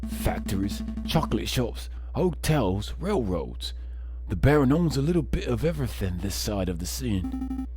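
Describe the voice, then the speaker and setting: Man playing an earnest, gentlemanly bird lawyer. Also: mid-range voice, very animated, measured pace, music in the background, pitched below the performer's own voice. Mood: knowing.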